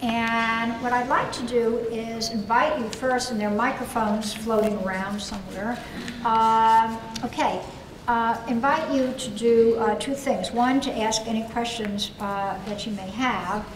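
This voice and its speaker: Older woman, keynote speaker, halting with fillers, echoey hall, distant mic, noisy. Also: a little high, fairly even intonation. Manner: thoughtful, hesitant with many fillers. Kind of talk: lecture and audience Q&A. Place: large conference hall.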